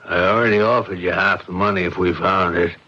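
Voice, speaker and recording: An actor playing a gruff, greedy, menacing middle-aged father in an old-time radio mystery. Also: low voice, steady tone, brisk, pushed and bright. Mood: gruff, defensive. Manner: gruff, menacing, ungrammatical tough talk. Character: brutal, greedy, coarse father bullying his grown daughter. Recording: muffled old radio drama recording.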